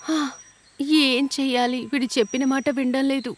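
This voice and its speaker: A woman's voice: high voice, level tone, normal speed, natural voice. A woman voicing a worried, protective mother cow in a children's cartoon, motherly and cautioning. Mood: exasperated. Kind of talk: animated children's cartoon dubbing.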